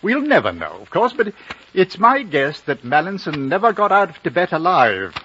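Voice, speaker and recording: An actor playing a well-spoken, reserved gentleman recounting a mystery in a deliberate, suspenseful manner, in 1950s radio drama style. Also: high-pitched, huge pitch swings, slight background noise, full character voice. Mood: musing. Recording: dull vintage radio broadcast.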